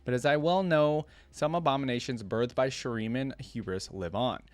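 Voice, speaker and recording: A man's voice: medium pitch, moderate pace, soft background music. A man hosting a reaction video, reading a story aloud and adding casual, musing asides.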